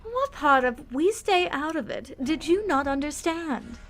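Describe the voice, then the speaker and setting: Woman acting as composed female deity, high voice, frank, poised, fantasy audio drama, music underneath. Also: expressive, unhurried, fairly bright tone. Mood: exasperated. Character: composed, frank goddess among squabbling deities.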